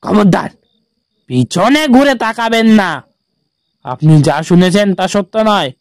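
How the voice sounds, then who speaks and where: A man voicing a grave, cryptic village auto-rickshaw driver in an animated horror story. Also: high-pitched, takes it slowly, no echo, studio quality, exaggerated voice. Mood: grave warning. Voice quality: grave-toned.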